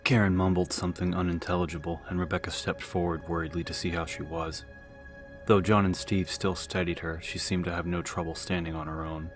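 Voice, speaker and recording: Low-voiced man narrating an audiobook. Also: little pitch movement, fairly quick, faint music behind. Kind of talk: dramatic scripted narration.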